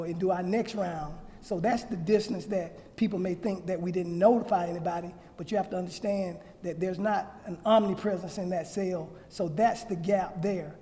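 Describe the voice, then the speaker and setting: Male official, high-pitched and mellow, measured formal press answers, reverberant hall. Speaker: law enforcement official answering press questions.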